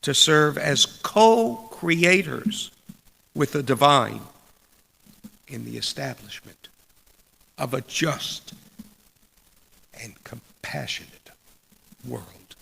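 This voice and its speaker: A middle-aged man delivering a sermon in a deliberate, measured way with dramatic pauses and a gravelly, husky voice. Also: medium pitch, sharp tone. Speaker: preacher reading a sermon. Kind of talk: sermon with read quotations. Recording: long echoing hall reverb.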